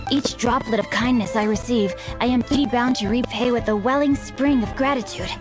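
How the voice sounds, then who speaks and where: A woman's voice: on the high side, brisk, close to an everyday voice. A woman voicing a bookish, chivalrous teenage boy in a video game, speaking in formal, eloquent, composed phrases. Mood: noble, polite. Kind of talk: video game character acting. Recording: game music behind.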